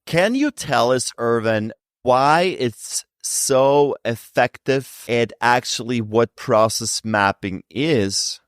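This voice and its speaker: Slow, lively-voiced male podcast host. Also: medium pitch, clear, resonant tone, no echo, studio quality. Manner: slow, deliberate questioning. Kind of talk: interview hosting.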